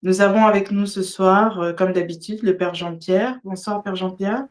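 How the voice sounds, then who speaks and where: A woman presents a live religious discussion. Her voice sits at an average pitch. She speaks with some hesitation, moving between addressing guests and reading out explanations. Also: normal speed. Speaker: host of a religious discussion show. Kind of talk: hosting a live online talk, partly reading out notes.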